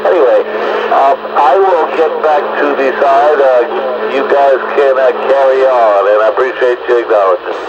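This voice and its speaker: A man with a mid-range voice calls in on a CB radio under very loud static. The sound is muffled, and he talks casually, halting and repeating himself. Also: medium pitch. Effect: two-way radio transmission. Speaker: CB radio caller.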